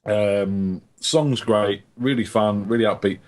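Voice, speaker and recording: A man with a croaky voice, a chatty and jokey panelist giving a casual music review. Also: lowish voice, slow.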